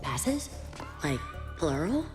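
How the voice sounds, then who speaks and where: Fiery young animated heroine, reverberant. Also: female voice, sits low, extremely slow, exaggerated voice. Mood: indignant. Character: fiery, hot-tempered young female fire being. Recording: reverberant film audio with music.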